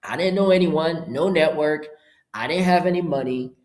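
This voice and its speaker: A middle-aged man from Haiti, a Creole speaker, gives an informal, upbeat motivational webinar pitch in short punchy phrases. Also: normal speed, a little mellow. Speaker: entrepreneur hosting an online presentation. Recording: roomy, echoing sound.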